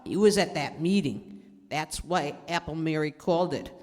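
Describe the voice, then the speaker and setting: A woman's voice: low voice, animated, normal speed, darkish tone. A woman playing a nervous petty crook, slangy and wary, in 1930s radio style. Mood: fearful, urgent. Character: nervous petty crook, henchman posing as beggar. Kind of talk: 1930s gangster radio acting. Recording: reverberant hall recording.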